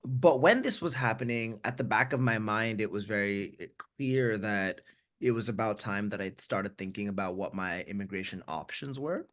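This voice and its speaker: A man in his thirties, from India, speaks with an American accent in a fairly low voice. He tells his story frankly and reflectively over a video call, often repeating words as he restarts his sentences. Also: normal speed, fairly bright tone. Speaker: guest. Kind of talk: candid conversational storytelling.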